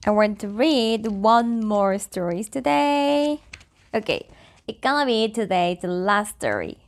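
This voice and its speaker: A woman's voice: mid-range voice, animated, measured pace. A woman with a Korean accent, a native Korean speaker, reading stories aloud and chatting casually as a vlogger. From South Korea. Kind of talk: storybook reading with casual chat.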